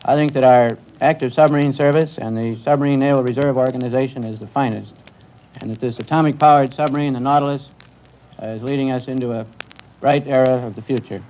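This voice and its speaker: An American man speaks as a naval commander being interviewed on a quiz show. He is polite and earnest, choosing his words in a measured way at a middling pitch. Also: steady tone, a little mellow, low-quality recording.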